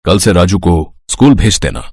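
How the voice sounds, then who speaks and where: Benevolent, dignified male principal for a moral tale, acted by a man, low and dry, measured delivery. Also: animated, a little mellow, slightly rough, no room sound, exaggerated voice.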